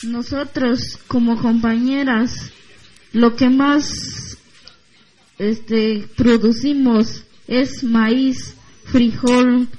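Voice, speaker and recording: A woman giving a short, halting address at a community gathering, with simple phrasing and pauses. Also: on the high side, darkish tone. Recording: dull, muffled recording.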